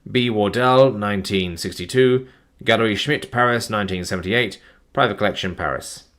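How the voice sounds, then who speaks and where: A man's voice: on the low side, moderate pace. Weary man reading file lists. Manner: flat, plodding reading of numbers with weary asides. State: tired, voice starting to go. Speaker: document narrator. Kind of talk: live-streamed reading of file listings.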